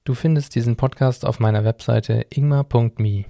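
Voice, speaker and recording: Male podcast narrator, young adult, scripted solo delivery, soft dark timbre, very dry sound. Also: mid-range voice, steady tone, normal speed, creaky, no echo. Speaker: podcast host.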